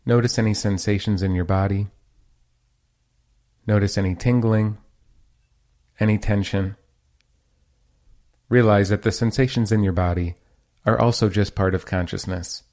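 A man leading a guided meditation as host, giving gentle, measured instructions with pauses between phrases. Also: fairly low pitch, steady tone.

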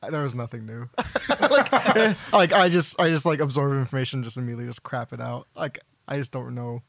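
A man's voice: medium pitch, brisk, vocal fry, a bit bright, no echo. A man co-hosting a podcast with playful, self-deprecating casual banter. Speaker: podcast co-host.

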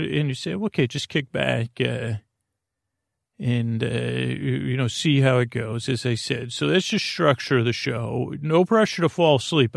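A male podcast host with a gravelly, creaky voice at a middling pitch. He rambles through a bedtime monologue, halting and wandering off on tangents. The sound is close and dry. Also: no echo. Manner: meandering, halting, digressive.

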